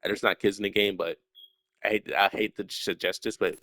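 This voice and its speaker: A man's voice: lowish voice, steady tone, brisk, sharp tone, no room sound. A young man with a stutter, talking casually and at length as a solo video creator, full of fillers and restarts. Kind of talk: rambling casual monologue.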